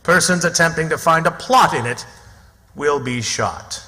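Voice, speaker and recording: A man's voice: a little high, unhurried, bright, forceful tone. A man speaking as host and announcer of a live radio theatre show, introducing it from the stage. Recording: echoey theatre hall recording.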